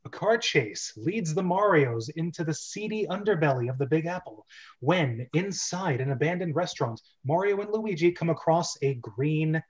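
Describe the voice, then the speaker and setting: Dull-sounding, higher-pitched male show host. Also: lively intonation, moderate pace. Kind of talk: scripted show introduction and casual chat.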